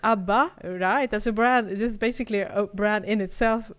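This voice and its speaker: A woman's voice: medium pitch, fairly even intonation, normal speed. A woman with a Swedish accent, co-hosting a podcast in casual, chatty conversation, laughing often and restarting her sentences. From Sweden. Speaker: podcast co-host. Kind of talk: casual chat.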